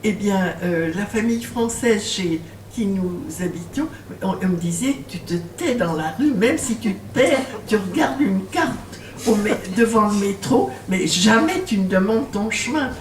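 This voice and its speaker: A woman's voice: medium pitch, animated, measured pace. An older woman author being interviewed in a bookshop, talking hesitantly with many fillers and laughing when she tells stories. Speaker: author and guest. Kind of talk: bookshop talk with audience. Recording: roomy, distant mic, faint noise.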